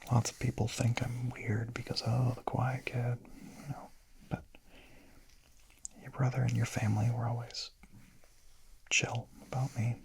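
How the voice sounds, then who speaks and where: A man playing a shy, gentle young vampire in a whispered ASMR roleplay, murmuring softly, hesitantly and intimately in a breathy voice. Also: medium pitch, level tone, measured pace, long pauses, exaggerated voice, rough recording. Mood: quiet confessing. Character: shy, gentle young male vampire, smitten misfit confessing.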